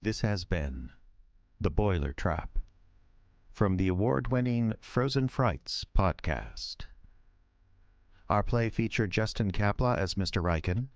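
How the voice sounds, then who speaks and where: A man's voice: fairly low pitch, animated, slow, long pauses, dry recording. A man reading scripted credits as the announcer of an audio drama podcast.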